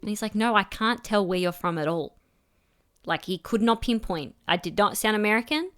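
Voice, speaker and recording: A woman's voice: mid-range voice, expressive, normal speed, a bit bright. A young woman with an Australian accent, co-hosting a podcast in casual, playful chat full of jokey asides. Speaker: podcast co-host.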